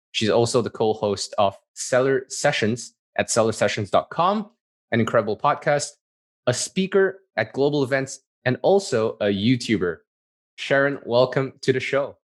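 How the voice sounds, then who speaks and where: A man hosting a podcast, reading a guest introduction and asking questions in a measured way with small hesitations. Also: medium pitch. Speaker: podcast host. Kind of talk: scripted intro then casual interview.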